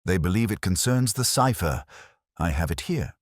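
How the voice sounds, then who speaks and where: A man narrating plays a learned, anxious scholar in a detective storybook. The voice is very deep, formal and earnest, with lively intonation. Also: normal speed, no room sound, studio quality.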